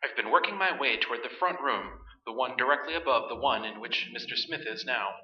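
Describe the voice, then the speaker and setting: A man's voice: mid-range voice, lively intonation, a little fast, natural voice. A man in a staged radio play, playing an earnest doctor who reports on the supernatural in formal, precise speech. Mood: intent, solemn. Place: large hall stage, staged performance. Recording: echoey stage recording, slightly muffled.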